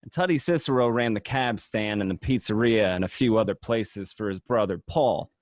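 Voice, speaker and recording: A man's voice: lowish voice, moderate pace, a little mellow, dry recording, dull audio, natural voice. A man playing a gangster who looks back on his youth, narrating in a reflective, matter-of-fact way for an amateur radio drama. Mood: nostalgic, proud.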